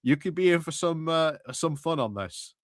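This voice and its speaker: A man's voice: fairly high pitch, expressive, moderate pace, dry recording. Male streamer, casual political commentary, hesitant and conversational, dry close sound.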